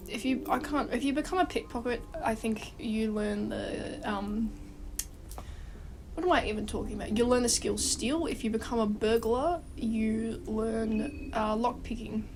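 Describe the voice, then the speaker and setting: Woman, congested from a cold, slow gravelly delivery, rambling casual guide host, clear music underneath. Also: on the high side, low-quality recording. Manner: rambling, swears casually, often restarts sentences. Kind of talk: casual rambling game walkthrough.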